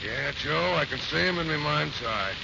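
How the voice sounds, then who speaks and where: A man's voice: on the high side, expressive, moderate pace, vocal fry. A man acting a brash, boastful ex-wrestler Marine in a 1940s radio drama, with slangy streetwise talk. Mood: dreamy boasting. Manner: boisterous, wisecracking. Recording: noisy, muffled 1940s radio recording.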